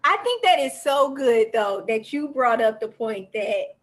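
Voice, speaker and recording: A woman's voice: a little high, expressive, unhurried. A woman in her thirties hosting a talk show, chatting in a personal way. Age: 30s. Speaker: host.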